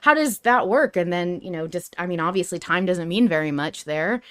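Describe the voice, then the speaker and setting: Casual lower-pitched woman podcast host. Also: lively intonation, normal speed.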